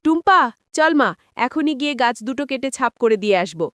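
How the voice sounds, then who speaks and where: A woman voicing a cruel, bossy village mother in a cartoon, sharp-tongued and scolding. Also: sits high, lively intonation, a little fast, no room sound, clean studio sound. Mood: scolding, threatening. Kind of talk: cartoon voice acting.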